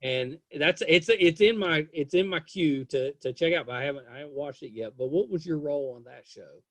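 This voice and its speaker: A man hosts a podcast interview with eager, rambling energy and keeps circling back to repeat himself. His voice sits a little high for a man, and the sound is close and dry. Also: fairly quick, a bit bright, no room sound. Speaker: podcast host. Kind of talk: casual interview chat.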